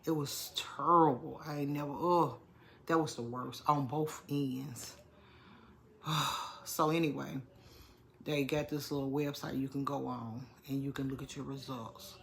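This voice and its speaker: A woman's voice: a bass voice, steady tone, a little slow, gravelly, slight background noise. A middle-aged woman with a congested chest, chatting casually to her viewers, repeating herself and calling them "y'all". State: congested, under the weather. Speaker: vlogger. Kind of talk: casual vlog talk to viewers.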